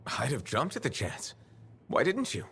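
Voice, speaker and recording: An actor playing a confident, easygoing freelancer hero in a video game, with a dry, teasing manner. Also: male voice, medium pitch, huge pitch swings, moderate pace, gravelly, fairly bright tone, husky, exaggerated voice. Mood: curious, friendly. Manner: dry, wry. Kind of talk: game dialogue acting.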